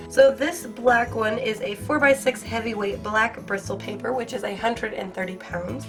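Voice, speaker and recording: A young woman hosting an art-supply unboxing video, hoarse from a cold and gushing with excitement in a casual, rambling way. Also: a little high. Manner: bubbly, gushing, filler-heavy. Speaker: art channel host.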